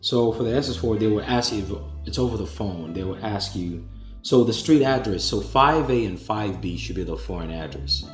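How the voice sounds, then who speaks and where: Low-voiced man explaining to a caller. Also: animated, roomy sound, faint music behind. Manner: explanatory, measured, with restarts. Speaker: host answering callers. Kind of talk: conference call advice.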